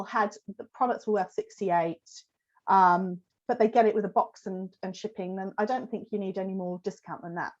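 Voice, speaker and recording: A woman hosts a live webinar, chatty and loose with plenty of ums, her voice a little croaky from a cold. Her pitch sits in a middling range. The audio is slightly dull and lo-fi. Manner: conversational, many fillers, answers chat questions. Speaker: host giving a business talk.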